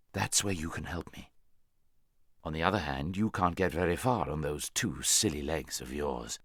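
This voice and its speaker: Storybook war horse, played by a man: low-voiced, haughty, worldly, lectures grandly with wide swings in intonation. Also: a bit bright, slightly rough, no room sound, clean studio sound, heavily acted. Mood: brisk, persuasive. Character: proud male talking war horse, worldly, scornful, bossy.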